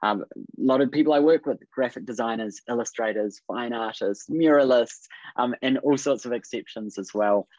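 A man's voice: medium pitch, moderate pace, a little mellow, no echo. A man in his thirties with a New Zealand accent, hosting a webinar over a video call in an upbeat, encouraging way with many fillers. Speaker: business coach, webinar host. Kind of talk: casual webinar presentation. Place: indoor room on video call. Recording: dry, dull video call audio.

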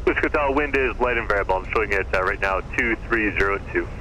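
A very noisy aviation radio carries a male tower controller. He rattles off procedural instructions extremely quickly in a medium-pitched voice. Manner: brisk procedural phraseology. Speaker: air traffic controller. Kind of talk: air traffic radio instructions.

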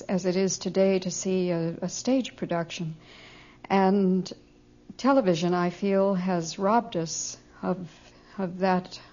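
An older woman reminisces in an interview. Her voice is mellow and a bit low, on a slightly dull recording. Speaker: actress being interviewed. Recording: dull, muffled audio.

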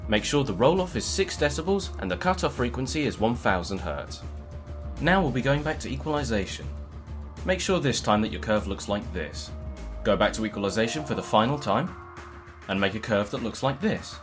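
Guy doing tutorial voiceover, mid pitch that moves around a lot, step by step, faint music behind. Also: very animated, moderate pace. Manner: plain step-by-step explaining. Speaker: tutorial narrator. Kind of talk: instructional voice-over.

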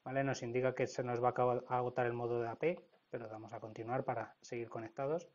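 A man with a Spanish accent from Spain walks through a software procedure step by step, often checking in with "¿vale?". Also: medium pitch, steady tone, fast, lo-fi sound. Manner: explanatory, frequent "¿vale?" check-ins. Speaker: product trainer. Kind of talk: screen-recorded software tutorial.

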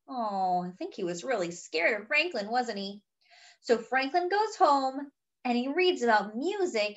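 A woman with a fairly high, very lively voice reads a children's picture book. She takes her time, stretching words for young listeners, and slips in chatty asides. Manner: exaggerated, drawn-out delivery addressed to young children. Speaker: storyteller reading a picture book aloud to children. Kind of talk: expressive children's read-aloud with chatty asides.

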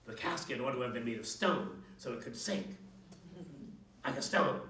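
A man's voice: medium pitch, moderate pace, sharp tone, natural voice. A man voicing a cranky, theatrical old female witch who grumbles and hisses sarcastically. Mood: scornful. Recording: echoey room, mic at a distance.

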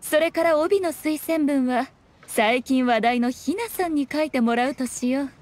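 An actress playing a sly, self-assured fox shrine maiden in a Japanese video game, speaking in a lofty, archaic, composed manner. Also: female voice, sits high, darkish tone, close to an everyday voice. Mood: sly, scheming. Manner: archaic, lofty, unhurried speech with teasing composure.

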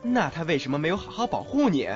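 Man playing a lively, teasing schoolboy over music. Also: on the high side, quick pace, highs cut off. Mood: brusque. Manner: teasing, affectionate. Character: brash, teasing, doting teenage schoolboy. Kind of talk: Mandarin audio drama acting. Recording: band-limited, background music throughout.

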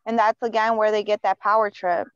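A woman's voice: medium pitch, little pitch movement, brisk, clean tone, no echo, muffled recording. Woman caller with a Chicago accent. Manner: casual, many "like" fillers. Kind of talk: casual call-in talk.

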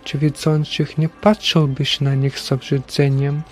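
A man playing a stern, solemn Christ in a religious drama, deliberate and questioning like a preacher. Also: fairly high pitch, flat delivery, soft background music, close to an everyday voice. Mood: grave, reproachful. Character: stern, solemn Christ speaking from a broken figurine. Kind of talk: dramatic religious stage-play acting.

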